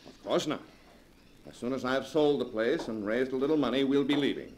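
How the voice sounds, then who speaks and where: An actor playing a scheming nephew in a 1940s radio drama, speaking in a suave, mocking way. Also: male voice, mid-range voice, animated, brisk, a little mellow, slight background noise, natural voice. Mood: sardonic. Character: scheming nephew, smooth and sardonic. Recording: old radio broadcast recording.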